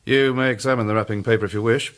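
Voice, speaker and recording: An actor playing a methodical police chief inspector in a period detective radio drama, speaking in a formal, measured, matter-of-fact way. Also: male voice, medium pitch, fairly even intonation, natural voice. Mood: businesslike.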